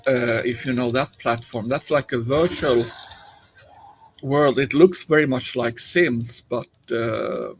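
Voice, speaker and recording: A man's voice: medium pitch, slight background noise, low-quality recording. A man from Finland with a Finnish accent, chatting casually on a live stream while he plays, rambling along with many fillers and restarts.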